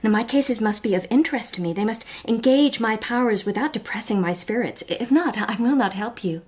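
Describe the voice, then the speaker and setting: An actress playing a sharp-witted young society lady detective in a period radio drama, composed, formal and probing. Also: a little high, animated, a little fast, slightly rough. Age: young adult.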